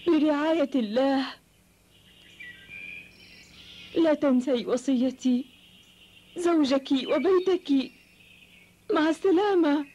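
A woman playing a gentle, weary elderly mother in a cartoon dub, tender, reassuring and measured in formal Arabic. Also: high voice. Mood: tearful, loving. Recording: noisy, hissy old cartoon soundtrack.

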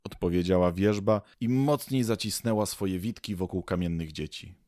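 A man's voice: low voice, normal speed, darkish tone, no room sound, clean studio sound. A man narrating an audio drama in a scripted storytelling style.

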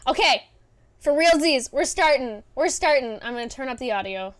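A young woman streamer giving casual, gushing, excitable live reaction commentary. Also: high-pitched, normal speed. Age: young adult. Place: small indoor streaming room. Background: faint music from the video being watched.

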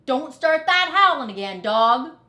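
A woman voicing a grumpy, bossy rat with a gruff, blunt manner in a children's storybook. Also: high voice, big pitch swings, measured pace, sharp tone, roomy sound, pitched above the performer's own voice, exaggerated voice. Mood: bossy, shouting.

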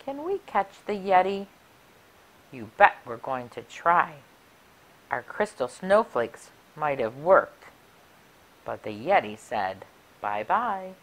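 A middle-aged woman reading a rhyming picture book aloud for children in an expressive, deliberate, sing-song way. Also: deep voice, rough recording. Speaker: library story time reader. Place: small furnished room indoors. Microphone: clip-on lapel mic.

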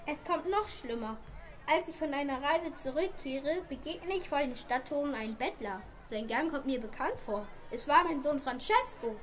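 A woman plays a wealthy merchant father in an amateur radio play. The voice is very high, proud and emphatic, declaiming like someone deeply aggrieved. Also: lively intonation, normal speed. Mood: indignant.

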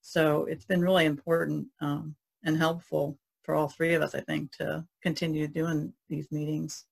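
A woman's voice: deep voice, level tone, moderate pace, creaky, breathy edge. A woman co-hosting a podcast, talking in a casual, reflective way. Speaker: podcast co-host.

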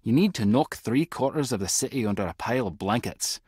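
Husky, wry Scottish spy. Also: male voice, mid-range voice, expressive, a little fast, darkish tone, no room sound, clean studio sound. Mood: wry, explanatory. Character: wry, clever male tech-savvy spy agent. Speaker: video game voice actor. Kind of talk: scripted adventure game dialogue.

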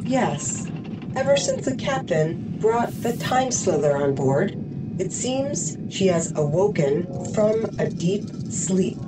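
A woman's voice: mid-range voice, expressive, takes it slowly, distant mic, very noisy. A woman playing a serene, literal-minded artificial crewmate in a sci-fi audio drama, speaking formally and precisely. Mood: calm, reassuring.

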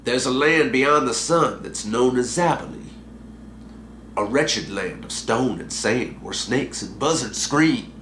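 A man's voice: medium pitch, a bit bright, roomy sound, a little hiss or hum. A man voicing a weary, wry old mountaintop guru in a recited story poem.

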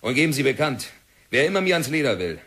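An actor playing a stubborn Canadian geologist in an old German radio drama, talking in a sardonic, defiant way. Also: male voice, mid-range voice, very animated, fast, fairly bright tone, breathy edge, full character voice. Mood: triumphant. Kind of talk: 1960s radio drama acting.

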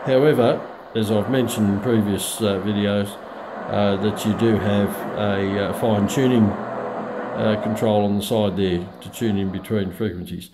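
A middle-aged man giving a casual, unscripted product demonstration in a halting, hesitant way with many pauses. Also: on the low side, fairly even intonation. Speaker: radio hobbyist reviewer. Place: small room at home, indoors. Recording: noisy home recording with radio static. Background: shortwave radio static and broadcast.

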